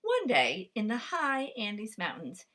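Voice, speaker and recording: A woman librarian reading a picture book aloud to children, with lively, expressive storytelling. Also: mid-range voice, slow.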